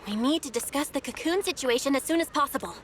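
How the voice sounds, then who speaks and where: A woman's voice: sits high, expressive, fast talker, a little hiss or hum. A woman playing a caring, sensible young woman who leads her group in a video game. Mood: focused.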